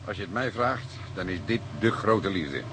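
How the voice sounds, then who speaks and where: A man's voice: lowish voice, expressive, normal speed, lightly acted. An actor playing a seasoned middle-aged police detective in a radio play, teasing his partner in a wry, fatherly way. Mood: amused. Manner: wry, avuncular, chuckling banter. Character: seasoned older male police detective, teasing, paternal, unhurried. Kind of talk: naturalistic radio play acting. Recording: radio play with clear background noise.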